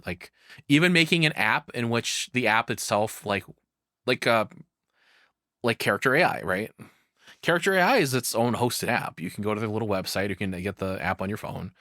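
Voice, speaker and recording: A man's voice: on the low side, sharp tone, no echo, studio quality. A man giving casual, rambling reaction commentary in a conversational way, with some swearing. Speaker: reaction streamer.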